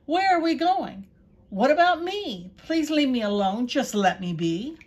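A timid little male donkey, as a woman puts him on in a read-aloud storybook. The voice rises high and pleads, frightened and full of self-pity. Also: very animated, a little slow, a little mellow. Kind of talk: storybook reading.

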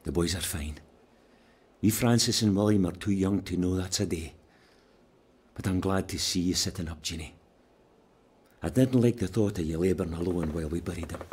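An actor playing a gentle, grieving Scottish brother-in-law, a farmer, in a radio drama. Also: male voice, deep voice, normal speed, pauses often, breathy edge. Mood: tender, subdued.

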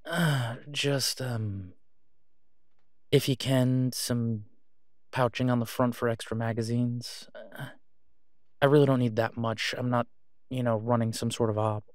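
A man playing an awkward, guarded man in a naturalistic audio roleplay, speaking hesitantly and low-key. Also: fairly even intonation, measured pace, long pauses, no room sound, clean studio sound, close to an everyday voice. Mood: careful, halting. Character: awkward, guarded man discreetly seeking gear to protect someone.

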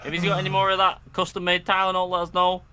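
A man's voice: high voice, monotone, fast talker, a crowd in the background, close to an everyday voice. A man playing a bossy, exasperated survivor in an improvised Minecraft zombie roleplay. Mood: brisk. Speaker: roleplay player.

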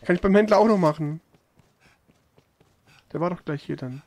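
High-pitched, creaky, grumbling male gamer. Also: normal speed, darkish tone. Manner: grumbling, irritable, blunt. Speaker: let's player. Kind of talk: casual gaming commentary. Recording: game music behind. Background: video game music.

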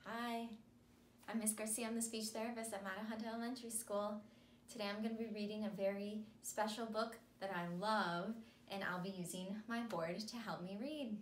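A woman's voice: medium pitch, steady tone, normal speed. A young woman working as a school speech therapist reads a picture book aloud to children in simple, emphatic, repeated phrases. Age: young adult. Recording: echoey room with noticeable hiss.

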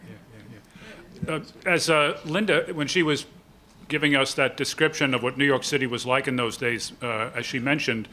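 Older guy running a panel talk, bright forceful voice, kinda careful and halting as he explains. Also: mid-range voice, steady tone, a little hiss or hum. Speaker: panel moderator. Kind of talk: public panel discussion. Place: lecture hall, at a panel table. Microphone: table microphone.

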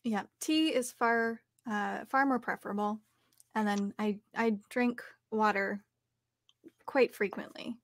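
A young woman with a Canadian accent chats casually as a guest in an interview. Her voice sits at an ordinary pitch for a woman. The sound is dry, close and free of room echo. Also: takes it slowly. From Calgary, Canada. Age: young adult. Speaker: interview guest, actor and voice artist. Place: small home studio, video call.

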